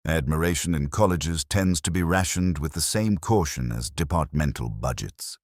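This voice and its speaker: A man playing a melancholy, observant detective, narrating a mystery in a measured, literary, deliberate manner. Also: a bass voice, animated, no room sound, studio quality. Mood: brooding, observant. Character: melancholy, observant older male detective narrating his case. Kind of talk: scripted first-person mystery narration.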